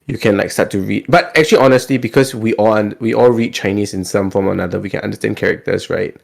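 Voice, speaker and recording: A man's voice: fairly low pitch, quick pace, creaky. A Singaporean man chatting casually as a podcast host, talkative and often repeating his words as he goes.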